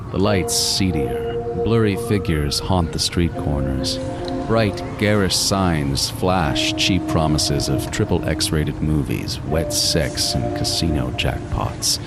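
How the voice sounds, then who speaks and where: An extremely deep male voice for a cold, obsessive killer, acted by a man, telling his own horror story. He is deliberate and brooding, gloating with quiet menace over clear background music. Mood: disdainful. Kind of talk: first-person horror audio drama narration. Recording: music bed under the voice.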